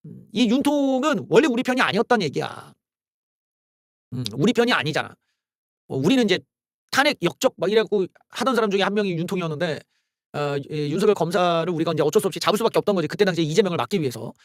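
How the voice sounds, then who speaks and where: Heated, high-pitched male political ranter. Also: animated, quick pace, no echo, clean studio sound. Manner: heated and sarcastic, exclaiming and cursing. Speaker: political YouTube host. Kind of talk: solo political commentary rant.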